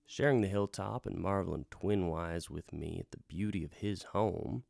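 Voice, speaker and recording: A low-voiced man narrates like a wandering bard in a radio drama. His delivery is unhurried and theatrical, with folksy, florid phrasing that drops into hushed emphasis. The voice has a gravelly fry and sounds close and dry. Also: no echo. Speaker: host, storytelling bard. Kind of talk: theatrical first-person fantasy storytelling, radio drama.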